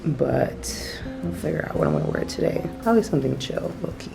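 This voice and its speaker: Brief: female travel vlogger, low and a little gravelly, chatty, guitar music behind. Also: animated, fairly quick. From Cuban family, grew up in the US. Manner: chatty, laughs easily. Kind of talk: casual vlog chat and voice-over narration.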